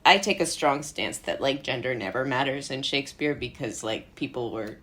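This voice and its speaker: A woman in her twenties with an American accent and a low-pitched voice. She talks casually and animatedly, like a guest telling stories. Her sentences ramble and are sprinkled with "like" and giggles. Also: animated, normal speed, a bit bright. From Brooklyn, United States. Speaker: interview guest, playwright and actor. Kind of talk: casual chat.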